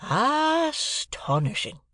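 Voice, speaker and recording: A reader doing a slow, simple country blacksmith. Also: medium pitch, big pitch swings, no room sound, clean studio sound, full character voice. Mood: dumbfounded. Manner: halting, laboured, rambling, mangled grammar.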